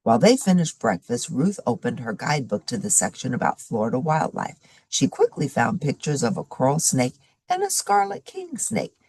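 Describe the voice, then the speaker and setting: Very low, gravelly woman reading a children's story. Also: normal speed, no echo. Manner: animated storytelling with varied character voices. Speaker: story reader. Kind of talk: expressive read-aloud of a children's chapter book.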